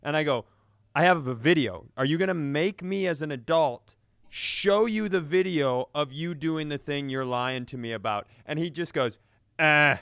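A man's voice: a little high, expressive, moderate pace, a bit bright, smooth, no room sound, clean studio sound. A man telling stories casually and comically as a podcast co-host, indignant and sweary, acting out the exchanges he quotes. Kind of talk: casual comedic storytelling.

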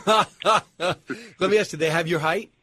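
Husky, jokey male host. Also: high voice, takes it slowly, sharp tone, no echo, clean studio sound. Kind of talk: casual interview.

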